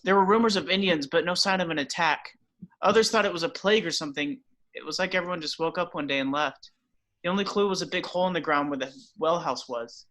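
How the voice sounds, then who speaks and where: A man's voice: a little high, fast talker, bright, forceful tone. A man reading as a shy, bookish teenage boy, hesitant and earnest in a table read.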